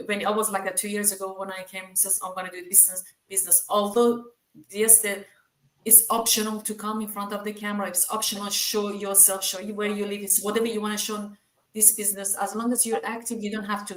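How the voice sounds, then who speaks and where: A woman's voice: mid-range voice, a bit bright, roomy sound, low-quality recording. A woman with a Persian accent, speaking English as a second language, reassuring others in a casual group chat with many hesitations. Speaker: team member sharing experience.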